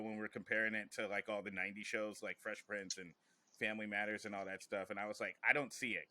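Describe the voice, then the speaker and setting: A man's voice: on the low side, pushed and bright, no room sound. A man hosting a podcast, chatting casually and informally with some swearing. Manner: informal, profane. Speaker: podcast host.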